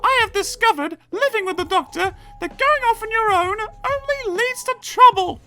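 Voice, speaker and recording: A storyteller voicing a sharp-tongued young woman in a children's story, complaining and mocking with exasperation. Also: very high voice, expressive, moderate pace, faint music behind, voice pushed up, heavily acted. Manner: mocking, exclamatory.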